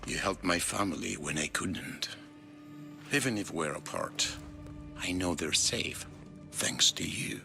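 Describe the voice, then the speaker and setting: A middle-aged Greek ruler in a video game, weary and grave. His voice sits low and his manner is guarded, with music clearly underneath. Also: animated, unhurried, long pauses, sharp tone, heavily acted. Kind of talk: video game dramatic dialogue.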